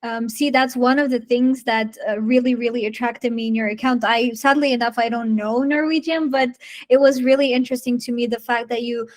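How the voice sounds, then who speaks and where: A woman's voice: a little high, steady tone, brisk. Chatty woman host, native Armenian speaker. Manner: friendly and chatty, laughs often. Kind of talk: casual video-call interview.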